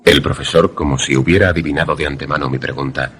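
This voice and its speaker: A man playing a polite, inquisitive visitor who narrates and converses in a suspense radio play, measured and formal. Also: very deep voice, fairly even intonation, fairly bright tone, breathy edge, exaggerated voice. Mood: measured, suspenseful. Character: inquisitive male visitor narrating an eerie tale, polite, sceptical.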